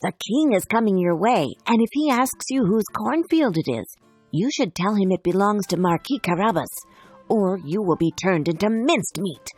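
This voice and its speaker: A woman plays a clever male cat servant from a children's storybook. He is courteous and persuasive, with a very animated delivery and a husky edge. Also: mid-range voice, normal speed, dry recording, faint music behind. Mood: commanding.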